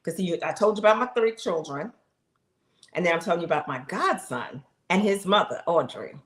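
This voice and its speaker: A middle-aged woman streaming live, telling viewers her own story in a casual, animated way and talking straight to them. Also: on the low side, a little fast, reverberant. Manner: animated, talks straight to the audience, exclaims, laughs. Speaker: live streamer sharing her health journey.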